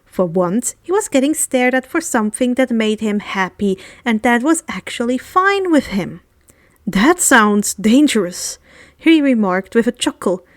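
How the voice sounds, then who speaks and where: Woman, story reader, high voice, expressive narration, close dry recording. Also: normal speed, dry recording. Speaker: fan fiction reader.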